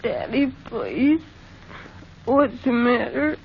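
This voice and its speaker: A woman's voice: on the high side, big pitch swings, drawn-out words, vocal fry, slight background noise, full character voice. A woman playing a pleading, affectionate little boy in an old-time radio drama. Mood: anxious. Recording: dull old radio recording.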